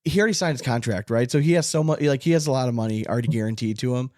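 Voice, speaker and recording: A man's voice: mid-range voice, very fast, darkish tone, no echo, clean studio sound. A man hosting a casual sports podcast, talking in a blunt, profane way. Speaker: podcast host.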